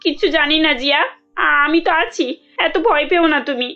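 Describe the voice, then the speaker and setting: Lady doing a caring rich madam in a cartoon, very high voice, faint music under it. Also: moderate pace, sharp tone, highs cut off. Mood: reassuring. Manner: concerned, reassuring. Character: well-off, kindly, grateful madam, protective sister-in-law. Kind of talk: cartoon dialogue.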